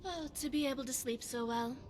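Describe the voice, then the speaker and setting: A woman playing a poised, secretive spy devoted to her goddess in a video game, speaking in formal, measured phrases. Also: high-pitched, natural voice. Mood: composed, courteous. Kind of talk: scripted video game character dialogue.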